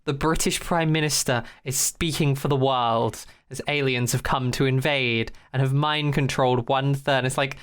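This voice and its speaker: Clear, sweary podcast host in casual chat. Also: mid-range voice, fairly even intonation, moderate pace, few pauses. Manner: sweary, informal.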